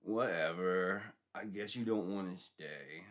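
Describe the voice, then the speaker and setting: A man plays a smooth-talking, lustful young boyfriend in a comic storybook. The voice sits low and lazy. Words are stretched out slowly and smugly. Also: fairly bright tone. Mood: dismissive.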